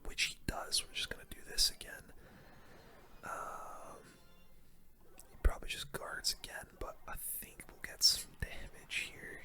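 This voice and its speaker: A gaming host whispers throughout in a soft, relaxed way. The whisper is very bright and sharp, close on the microphone. It is ASMR-style commentary over live gameplay.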